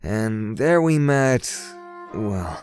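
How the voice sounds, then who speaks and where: A man's voice: on the low side, expressive, very slow, darkish tone, soft background music. A young man acting as a reluctant, self-doubting prince in an audio drama, speaking in a casual, hesitant, brooding way. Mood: weary recounting. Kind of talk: audio drama acting and first-person narration.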